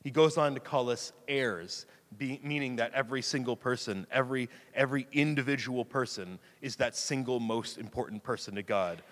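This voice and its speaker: Male preacher, casual and self-deprecating, slight rasp, medium pitch, big reverberant church sound. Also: moderate pace, hardly any pauses, a bit bright. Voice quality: slightly raspy from screaming into a mic. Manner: chatty, self-deprecating, laughs easily. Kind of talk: casual conversational sermon. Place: church sanctuary. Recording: long hall reverb.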